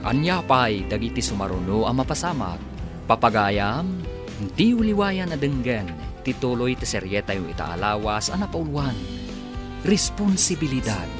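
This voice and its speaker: A radio drama announcer narrates a serial in a scripted style. The voice sits at a middle pitch, with music playing behind it.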